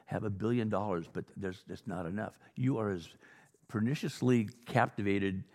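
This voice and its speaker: A man's voice: on the low side, fairly even intonation, moderate pace, darkish tone. An American man preaching a conversational sermon, telling anecdotes and exhorting listeners in an informal way. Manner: informal, acts out dialogue, exhorting. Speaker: pastor preaching. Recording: reverberant hall.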